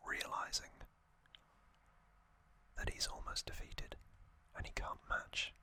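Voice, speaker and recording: An ASMR host whispering a recap in a breathy, unvoiced whisper, in short halting phrases with pauses. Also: pushed and bright. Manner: slow, halting, pausing between short phrases.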